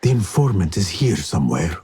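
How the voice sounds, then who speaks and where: A calm, resolute assassin. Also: male voice, sits low, faint music behind. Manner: measured, quiet, resolute. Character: stealthy hooded assassin, calm and determined.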